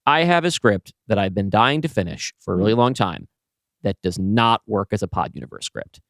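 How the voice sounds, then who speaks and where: A man's voice: lowish voice, big pitch swings, a little fast, vocal fry, a bit bright, no room sound, clean studio sound. A man co-hosting a podcast in casual conversation, speaking in an emphatic, enthusiastic way. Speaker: podcast co-host and writer.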